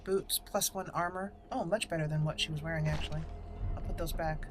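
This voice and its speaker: A woman's voice: sits low, steady tone, a little fast. A woman giving casual gameplay commentary, thinking aloud and reading item names out as she goes. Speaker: gaming channel host. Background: video game music.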